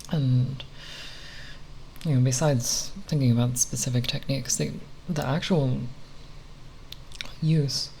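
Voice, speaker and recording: A speaker giving an unscripted ASMR ramble in a halting, nerdy, hesitant manner with long pauses. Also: mid-range voice, fairly even intonation, creaky, dark tone. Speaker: ASMR content creator. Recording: faint background noise.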